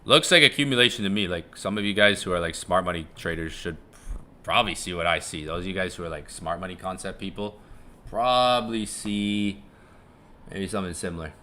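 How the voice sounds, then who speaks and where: Bright-voiced young man rambling on a live stream. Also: low-pitched, brisk. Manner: rambling, chuckling, swears casually, repeats himself. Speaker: live-stream trading host. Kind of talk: casual live-stream commentary.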